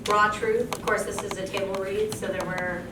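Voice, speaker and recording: A woman's voice: mid-range voice, level tone, normal speed. A woman addressing guests informally as an event organiser. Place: indoor gathering in a home. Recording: echoey, distant mic, noisy room.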